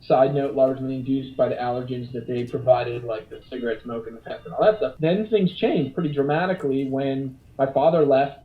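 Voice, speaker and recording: A man's voice: mid-range voice, fairly quick, few pauses, distant mic. A man, the older brother, recalls his family reflectively in a documentary interview. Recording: tinny phone-like sound from afar.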